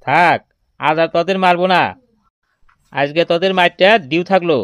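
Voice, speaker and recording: A man's voice: fairly high pitch, moderate pace, a bit bright, no room sound, natural voice. A man voicing a stern, hot-tempered village schoolteacher in a comedy cartoon, scolding and exasperated. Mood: grudging.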